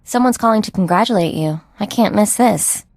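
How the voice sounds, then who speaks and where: A woman's voice: mid-range voice, a little fast, darkish tone, no echo, clean studio sound, close to an everyday voice. A young woman playing an upbeat, casual sidekick in a sci-fi video game, breezy and chatty. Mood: breezy, amused. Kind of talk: video game character acting.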